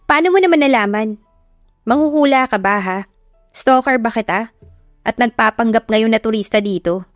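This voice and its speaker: A woman's voice: on the high side, animated, no echo, clean studio sound, faint music behind, close to an everyday voice. Woman voicing a feisty, hot-tempered young woman. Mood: irritated. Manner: sharp, scolding, quick to shout.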